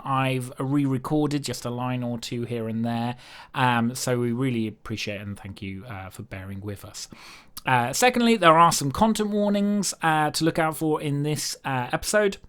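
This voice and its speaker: A man's voice: medium pitch, expressive, normal speed, fairly bright tone, clean tone, dry recording. A British man hosting and narrating a tabletop roleplaying game as game master, feeling his way through sentences with self-corrections. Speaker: game master and podcast host.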